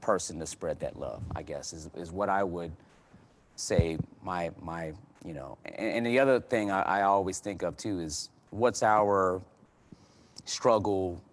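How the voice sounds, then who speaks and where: A man speaking as a guest in a panel discussion, earnest and conversational, often repeating and restarting words as he gets more animated. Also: low-pitched, measured pace, a little hiss or hum. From United States.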